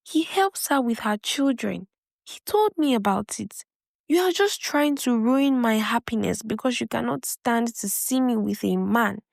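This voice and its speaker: Woman acting a stubborn, trusting bride, raised pitch. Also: animated, unhurried, creaky, no room sound, studio quality. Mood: indignant, defensive.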